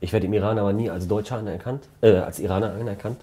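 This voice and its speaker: A man in his thirties with a deep, slightly husky voice hosts a podcast interview. He asks long, formally phrased questions and fills in with frequent agreeing yeses. He is recorded on a lapel mic in a hotel room. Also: steady tone, fast, warm tone. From Germany. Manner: elaborate, formal phrasing with many back-channel yeses. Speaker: podcast host.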